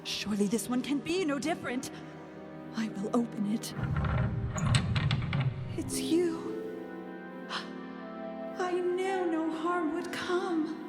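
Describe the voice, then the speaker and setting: An actress playing a naive, curious young wife who muses theatrically to herself in an audio drama. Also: female voice, extremely high pitch, slow pace, pauses often. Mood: resolved, eager. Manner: theatrical musing aloud. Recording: prominent music under the voice.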